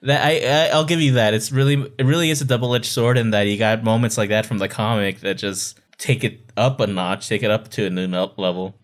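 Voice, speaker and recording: A man's voice: on the low side, steady tone, fairly quick, hardly any pauses. A man co-hosting a podcast, talking casually and giving his opinions on books in a conversational way. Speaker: podcast co-host. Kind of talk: casual critical book discussion.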